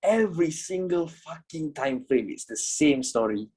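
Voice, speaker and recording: A man's voice: a little high, expressive, a little slow, a little mellow, husky. Young man teaching trading, swearing. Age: 20s. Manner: swears, repeats himself, keeps asking "you know?". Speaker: trading instructor. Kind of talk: casual online class wrap-up.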